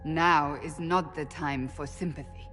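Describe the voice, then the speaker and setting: A woman playing a resolute warrior of a holy order in a video game, speaking formally, sternly and deliberately. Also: low voice, fairly even intonation, a bit bright, soft background music. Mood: solemn.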